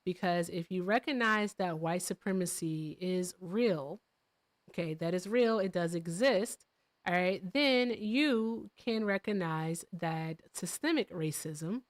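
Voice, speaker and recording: A woman's voice: fairly low pitch, takes it slowly. A woman in a heated call-in debate, lecturing and repeating herself for emphasis. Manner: lecturing, repeats "all right".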